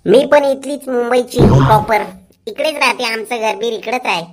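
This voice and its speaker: A woman's voice: on the high side, normal speed, lightly acted. A woman voicing a bossy, matter-of-fact young female worker in an amateur comedy cartoon, with plain colloquial talk. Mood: curious. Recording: loud music under the voice.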